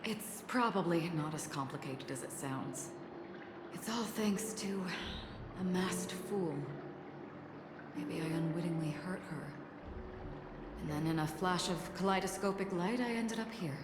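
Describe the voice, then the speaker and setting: Woman acting composed guarded female warrior, slightly low, polite formal delivery, game dialogue, hall reverb, prominent music. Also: unhurried, pauses often, background noise, natural voice. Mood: puzzled, composed. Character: calm, courteous, guarded woman warrior offering protection. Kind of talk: English video game voice acting.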